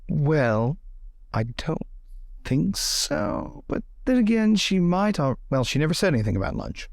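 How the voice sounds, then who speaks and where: A genteel, talkative lord acted out by a man in a period tabletop game. He is courtly and wry, with a swooping pitch and some creak in the voice. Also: wildly swooping pitch, unhurried, no echo, clean studio sound, full character voice. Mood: generous, wry. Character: chatty, generous, genteel lord in period adventure.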